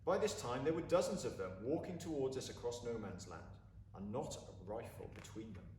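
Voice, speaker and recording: A man reads a story aloud in a dramatic, deliberate way. His mid-pitched voice moves widely up and down. The recording is echoey and a little distant, with faint noise. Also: lively intonation, a little mellow. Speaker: story reader. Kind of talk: expressive storybook narration. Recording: roomy, distant mic, faint background noise.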